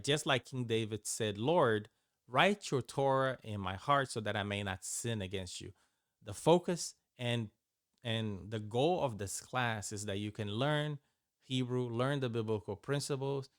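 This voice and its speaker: Portuguese-native man, lowish voice, dry sound. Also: a bit bright, no room sound. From Brazil. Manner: loose, halting, with frequent restarts and filler words. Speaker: Bible and Hebrew teacher. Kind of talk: informal course overview.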